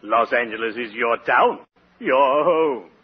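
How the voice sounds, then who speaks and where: An actor playing a morbidly genteel undertaker in a 1940s radio sitcom, formal, deliberate and drily macabre. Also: male voice, mid-range voice, expressive, husky. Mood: solemnly droll. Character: Digger, morbidly genteel, cheerful male undertaker. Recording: very noisy, muffled 1940s radio recording.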